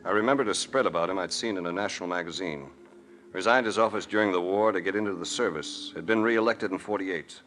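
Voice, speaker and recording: Casting: deep, forceful American hero-narrator, hard-boiled and sardonic, vintage radio sound with light hiss. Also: male voice, quick pace. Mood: grim narration. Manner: deadpan, sardonic, dry understatement. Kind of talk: 1940s radio detective acting and narration.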